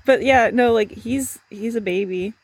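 A woman's voice: a little high, a little slow, husky, no echo. A young woman chatting casually, rambling and swearing offhand, with a dry, phlegmy throat. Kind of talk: casual chat.

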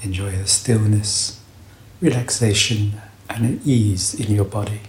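A man leading a guided meditation with calm, gentle, unhurried instructions and long pauses between short phrases. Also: fairly low pitch. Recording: roomy echo, faint background noise.

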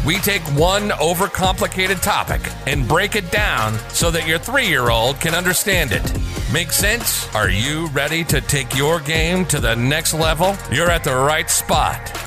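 A man reading a punchy scripted podcast intro in a hyped delivery. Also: fairly high pitch. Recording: music bed under the voice.

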